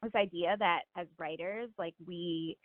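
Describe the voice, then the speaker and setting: A woman's voice: fairly low pitch, measured pace, no echo. A woman from Miami speaking casually and reflectively as a guest author in an interview, often saying "like" and "you know.". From Miami, United States. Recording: narrow phone-line sound.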